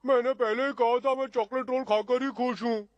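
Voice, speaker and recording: A woman's voice: a little high, steady tone, moderate pace, no echo, big-room sound, highs cut off, close to an everyday voice. A woman voicing a drowsy, food-loving, laid-back lion-like cartoon creature with a lazy, contented manner.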